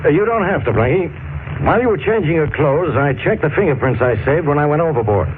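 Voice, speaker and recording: Blustering, bossy male inspector, played by a man, higher-pitched, old radio serial, thin hissy sound. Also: animated, fast talker, lightly acted. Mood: confident. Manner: blustering, barking orders. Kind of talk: 1940s radio acting. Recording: tinny, noisy old 1940s radio recording.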